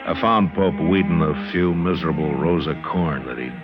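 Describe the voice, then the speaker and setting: An actor playing a weary, stern frontier marshal in an old radio western, American accent, terse and dry. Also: male voice, very deep voice, moderate pace. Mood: grim, reflective. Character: weary, stern male frontier marshal, narrating his own story. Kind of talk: old-time radio western acting and first-person narration. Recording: dull old radio recording with music.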